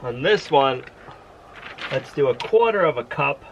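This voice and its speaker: A man with a mid-pitched, ordinary voice talks through his farm chores and a home experiment in a relaxed vlog style. He explains things step by step in a chatty, down-to-earth way, with a faint hiss of noise under the recording. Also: measured pace, lo-fi sound. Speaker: homestead vlogger. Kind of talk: casual how-to vlog narration. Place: outdoors on a farm in winter.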